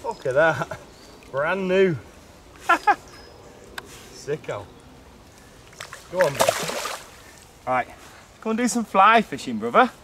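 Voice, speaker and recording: Slow-talking man with a slightly high voice. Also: animated. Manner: laid-back, bantering with his brother. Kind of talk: casual chat. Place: outdoors by a lake. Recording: outdoor noise behind.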